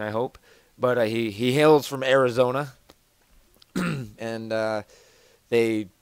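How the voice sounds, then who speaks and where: A man's voice: medium pitch, clean tone, no room sound. A man hosting a casual podcast from home over a voice call, rambling with lots of fillers and restarts. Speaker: podcast host.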